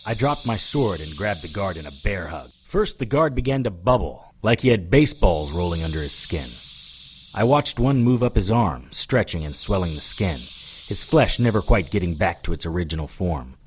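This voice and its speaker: A man's voice: deep voice, lively intonation, moderate pace, a little hiss or hum. A man playing a wry, hard-boiled hero, narrating a scripted audio drama in the first person with dry sarcasm. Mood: grim. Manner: dry, sardonic. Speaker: narrator and lead character.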